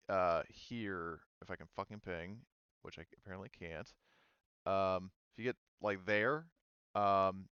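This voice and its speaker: A man running a tabletop roleplaying game as game master, narrating off the cuff in a halting, casual, sweary way. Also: deep voice, a bit bright, clean tone, no room sound, highs cut off.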